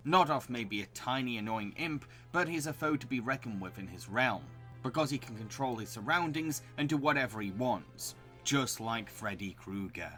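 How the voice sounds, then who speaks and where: A man's voice: medium pitch, lively intonation, normal speed, few pauses, music in the background. A man hosting a video review in scripted narration, with an enthusiastic, recommending delivery.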